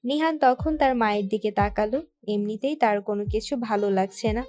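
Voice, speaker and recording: A woman's voice: medium pitch, moderate pace, a little mellow. A woman reading out scripted story narration as the narrator of an audio tale.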